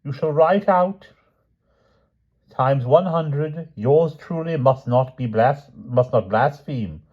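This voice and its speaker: A man's voice: mid-range voice, takes it slowly, dull audio, pitched above the performer's own voice. A man doing a haughty, stilted foreign knight. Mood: stern. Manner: broken, formal English, lofty and stilted. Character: pompous foreign knight tutor, haughty and stiff.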